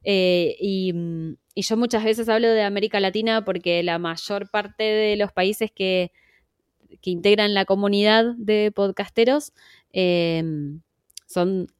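A woman's voice: mid-range voice, fairly even intonation, smooth, no echo, studio quality. A woman with an Argentine accent, a podcast survey organizer explaining patiently as an interview guest, with frequent fillers. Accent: Argentine Spanish. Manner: measured, many fillers and "¿no?" tags.

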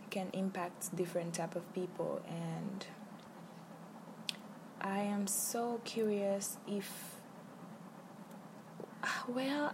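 A woman's voice: lowish voice, noisy background. A young woman hosting her own podcast, talking earnestly and encouragingly and repeating her key phrases. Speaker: podcast host. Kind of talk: solo motivational podcast talk.

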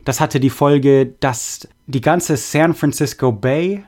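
A young man hosting a podcast, reading listener messages in a relaxed, halting way with many fillers. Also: on the high side. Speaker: podcast host. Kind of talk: casual podcast chat reading listener mail.

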